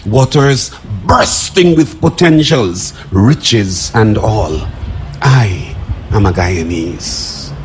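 A man performing a dramatic spoken-word poem in a declamatory, drawn-out delivery. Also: animated, slight background noise. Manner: declamatory, drawn-out delivery with big swings in pitch.

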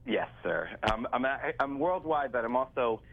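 A man's voice: mid-range voice, animated, moderate pace. A congested, stuffed-up man speaking as an attorney on a radio call-in, chatty and salesy, often repeating himself. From northeast United States. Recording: phone line.

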